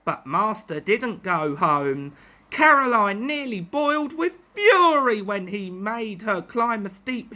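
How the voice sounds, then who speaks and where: A woman storyteller reading a children's tale aloud in an expressive narrating style. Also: fairly high pitch.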